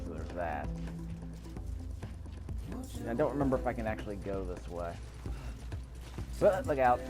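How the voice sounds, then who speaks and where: Low-pitched man giving offhand game commentary. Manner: offhand, dryly skeptical. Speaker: gameplay video creator. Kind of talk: casual gameplay commentary.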